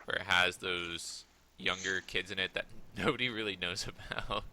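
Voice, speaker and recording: A man's voice: deep voice, fairly even intonation, normal speed, pushed and bright. A man co-hosting a podcast in casual chat, loosely correcting himself as he goes. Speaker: podcast co-host.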